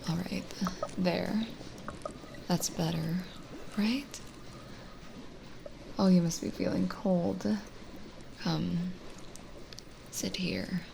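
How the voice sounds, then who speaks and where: A woman playing a lonely, kindly witch in an ASMR roleplay, speaking gently and coaxingly with hesitant pauses and drifts into whispering. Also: fairly low pitch, fairly even intonation, gravelly, background noise, heavily acted. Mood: reassuring. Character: lonely, kindly forest witch, soothing and hospitable. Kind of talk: ASMR roleplay audio drama.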